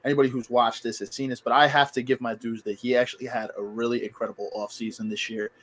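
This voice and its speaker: A man's voice: mid-range voice, quick pace. A man hosting a podcast, chatting casually about football with his guests as friends. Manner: relaxed, talks to the guests as friends. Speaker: podcast host. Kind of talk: casual sports chat.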